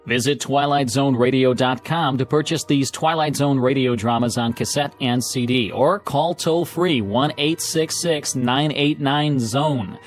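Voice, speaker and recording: A man's voice: medium pitch, measured pace, hardly any pauses. A man giving a scripted commercial read as an announcer. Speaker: advertisement announcer. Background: faint music.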